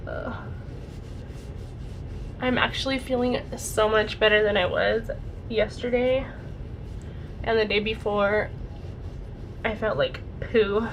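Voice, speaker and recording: A young woman vlogger chatting casually through a makeup routine, her voice a bit raspy and her nose congested from a cold. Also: mid-range voice, fairly even intonation, slow pace, long pauses, noisy background. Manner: chatty, rambling, lots of "like" and "super". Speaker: beauty vlogger. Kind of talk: casual makeup tutorial chat.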